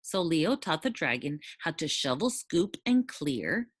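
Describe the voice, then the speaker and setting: Very animated, low-voiced woman reading aloud. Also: measured pace, husky, dry recording, studio quality. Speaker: storybook reader. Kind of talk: expressive children's read-aloud narration.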